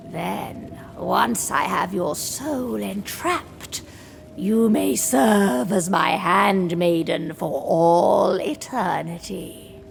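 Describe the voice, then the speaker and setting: A woman's voice: fairly high pitch, gravelly, slight background noise, heavily acted. A woman playing a cruel, imperious undead queen in a video game cutscene, haughty and theatrical, full of menace.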